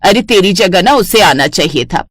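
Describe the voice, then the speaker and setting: A woman's voice: lowish voice, animated, moderate pace, dry recording, clean studio sound. A woman voicing a complaining older mother-in-law in a cartoon family story. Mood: scolding. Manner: complaining, nagging. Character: scolding older mother-in-law, grudging and quick to praise others.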